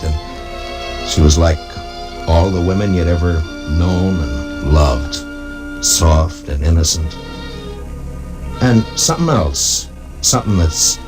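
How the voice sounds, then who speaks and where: Stoic Western marshal, very low pitch, halting plainspoken narration, old radio recording, music underneath. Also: male voice. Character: stoic, weary US Marshal narrating his own Western story. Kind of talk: 1950s Western radio drama acting and narration.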